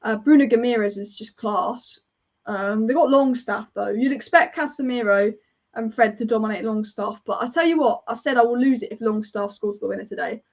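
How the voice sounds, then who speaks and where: A woman's voice: fairly high pitch, moderate pace, muffled recording. A woman commentating a football match as a fan on a live watch-along, chatty and partisan, rambling and reacting to the play as it happens.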